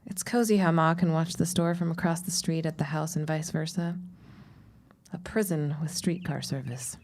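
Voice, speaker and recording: Wry young daughter female actor, audio drama, slightly low pitch, dry and teasing, reluctant about shop work. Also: fairly even intonation, normal speed, a little mellow. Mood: resigned, wry.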